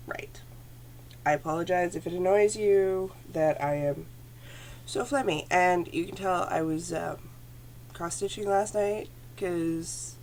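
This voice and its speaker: A woman with a lowish, gravelly voice and a phlegmy throat chats casually about her knitting into a computer camera at home. She rambles and corrects herself as she goes, and a faint hum sits underneath. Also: darkish tone. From Canada. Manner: chatty, rambling, self-correcting. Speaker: knitting podcast host. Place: home room. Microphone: computer camera at desk.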